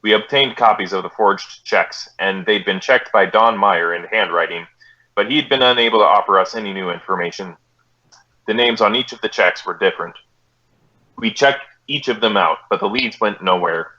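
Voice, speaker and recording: Terse american radio cop, low sharp voice, deadpan case narration, old 50s police show style. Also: male voice, moderate pace, dull audio. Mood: flat, official. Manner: deadpan, matter-of-fact, clipped. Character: terse, businesslike male police detective, narrating his case. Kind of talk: clipped 1950s radio police drama narration and dialogue.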